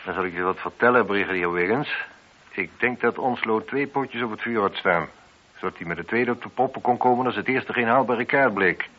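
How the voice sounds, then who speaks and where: A low-voiced actor playing a police inspector in a radio drama, sceptical and sardonic. He questions drily and gives brisk orders in a husky voice, on a muffled recording. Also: natural voice. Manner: dry, sardonic, brisk with subordinates. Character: sceptical, probing, commanding male police inspector. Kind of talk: radio play acting.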